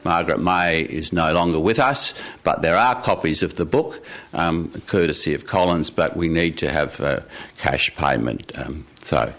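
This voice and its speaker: A man's voice: a bass voice, normal speed, fairly bright tone. A man telling stories and hosting informally in front of a live studio audience. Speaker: storyteller and live show host. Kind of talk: live storytelling and informal announcements. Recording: live radio studio, background noise.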